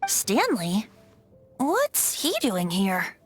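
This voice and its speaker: A woman's voice: medium pitch, huge pitch swings, very slow, soft background music, full character voice. A woman voicing a playful, sly young male bard in a video game, with a lilting, theatrical way of talking. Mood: flustered. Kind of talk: video game character dialogue.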